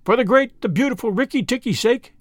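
A man reading aloud and voicing an excitable, feather-brained little male songbird in a gushing, sing-song way. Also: very high-pitched, fairly quick, dry recording, clean studio sound, voice pushed up.

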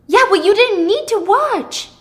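A woman's voice: on the high side, fast talker, a bit bright, close to an everyday voice. A woman voicing an upset, accusing young boyfriend in a dramatic spoken story, stammering and exclaiming. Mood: alarmed, angry.

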